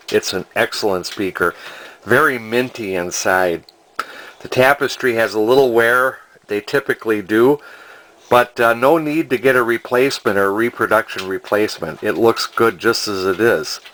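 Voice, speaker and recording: Low-voiced, folksy man. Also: fairly bright tone, poor audio. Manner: deliberate, folksy, plainspoken. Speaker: antique radio collector and restorer. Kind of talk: casual unscripted video narration.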